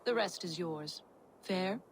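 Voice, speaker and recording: An actress playing a powerful, cruel sorceress in a video game, with an imperious, mocking way of talking. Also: female voice, on the low side, takes it slowly, background noise. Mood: haughty, scheming. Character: powerful, cruel, scornful sorceress, cool schemer. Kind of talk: dramatic video game acting.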